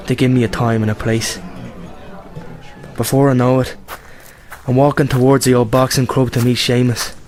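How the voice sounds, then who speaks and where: An actor with a Northern Irish accent playing an earnest, determined young republican volunteer in a radio play. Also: male voice, mid-range voice, close to an everyday voice, low-quality recording. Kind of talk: radio drama monologue and dialogue.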